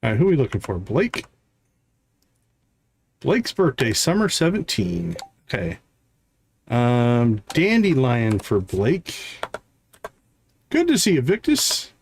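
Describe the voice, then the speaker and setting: A man's voice: medium pitch, wildly swooping pitch, slow pace, pauses often, a little hiss or hum. A man hosting a game livestream, thinking aloud in casual, chatty commentary as he plays. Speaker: livestream host playing a video game. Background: game music.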